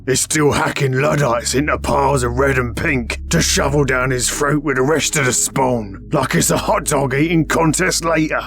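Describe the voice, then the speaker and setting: A man's voice: medium pitch, moderate pace, few pauses, fairly bright tone, soft background music, voice pushed up. A man voicing a crude, snarling veteran sergeant, a huge mutant bull-man, in an audio story. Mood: crude contempt. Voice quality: gravelly, snarling. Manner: crude, gruff.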